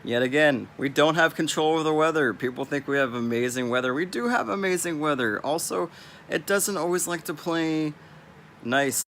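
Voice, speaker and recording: A man's voice: on the high side, animated, normal speed, fairly bright tone, slight background noise. A young man from California with a Californian accent, rambling bluntly and swearing freely in a casual vlog. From California, US West Coast. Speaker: vlogger.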